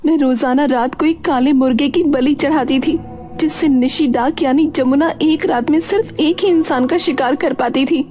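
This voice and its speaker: Woman playing an earnest high-voiced village woman. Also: normal speed, dark tone, faint music behind, natural voice. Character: earnest village woman recounting her burden. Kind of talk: Hindi animated story dialogue.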